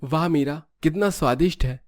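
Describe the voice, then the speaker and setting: A man's voice: a little high, normal speed, no room sound, clean studio sound, lightly acted. A man playing a busy, practical young husband and businessman in a dramatized moral story. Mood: appreciative.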